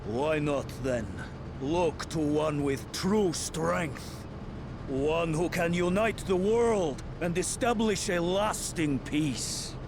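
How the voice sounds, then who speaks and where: A man's voice: a little high, slow, background noise. A man playing a pompous, megalomaniac tyrant in a video game, with a grandiose, sneering, theatrical manner. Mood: imperious. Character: pompous, megalomaniac male tyrant villain bent on conquest. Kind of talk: video game cutscene voice acting. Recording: loud orchestral music behind. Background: dramatic game music.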